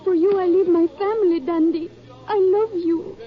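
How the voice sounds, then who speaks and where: A lovestruck young girl, very high voice, broken English, pleading, old lo-fi radio play. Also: fairly even intonation, normal speed, crowd noise. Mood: pleading love. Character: young lovestruck woman clinging to a gangster. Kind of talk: 1950s radio acting.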